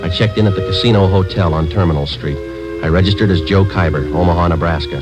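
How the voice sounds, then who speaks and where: An actor playing a stoic, businesslike police sergeant, narrating and talking in a clipped, deadpan radio drama style. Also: male voice, sits low, quick pace, natural voice. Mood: matter-of-fact. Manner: deadpan, matter-of-fact. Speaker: narrator and lead detective. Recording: dull vintage radio recording, loud music.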